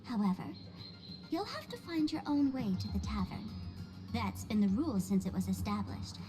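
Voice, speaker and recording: A woman's voice: mid-range voice, big pitch swings, moderate pace, long reverb tail, natural voice. A woman voicing a mischievous, theatrical young trickster woman in a video game. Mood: matter-of-fact. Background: game music.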